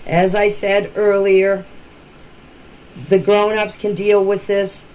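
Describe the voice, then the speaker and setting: A woman's voice: mid-range voice, monotone, takes it slowly, a little mellow. An older woman talks informally and emphatically in a voice message, plain-spoken and often repeating herself. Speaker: relative speaking about a missing-children case. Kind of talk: informal personal voice-message talk. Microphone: phone voice message. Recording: noisy amateur voice-message recording.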